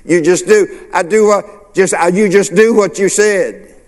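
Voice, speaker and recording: A male pastor preaches slowly, with a slightly high voice. He asks the congregation questions and answers them himself. His voice rings through a reverberant church hall. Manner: preacherly call-and-response, rhetorical questions. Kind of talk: sermon.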